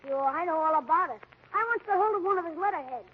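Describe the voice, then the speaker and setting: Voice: very high voice, animated, fast talker. An actor playing an eager, cheeky twelve-year-old boy in 1940s radio comedy, slangy and argumentative. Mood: cheeky, insistent. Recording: dull noisy 1940s radio recording.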